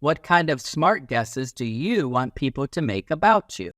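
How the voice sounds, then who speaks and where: Male teacher-narrator for kids' educational picture book: lively melody, instructive delivery, close dry sound. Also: mid-range voice, measured pace, no echo. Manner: animated, instructive, acts out little sounds and quotes. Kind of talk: expressive children's read-aloud.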